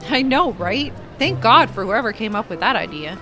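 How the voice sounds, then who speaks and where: Actress as awkward, excitable geek girl for comedy audio drama, high-pitched, faint music underneath. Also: normal speed. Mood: eager. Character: shy, socially awkward young gamer geek woman, quick-tempered online.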